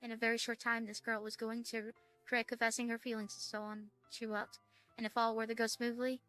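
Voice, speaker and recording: A woman hosting a gaming video, chatting casually and reading game text aloud in a halting way that repeats words. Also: fairly high pitch, little pitch movement, a bit bright, faint music behind.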